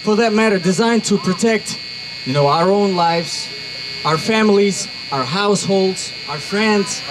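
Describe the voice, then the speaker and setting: A young man with a Russian accent giving an energetic speech to a crowd at an outdoor rally. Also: very high-pitched. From Uzbekistan. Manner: energetic, builds to shouts. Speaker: rally speaker. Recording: noisy outdoor crowd recording.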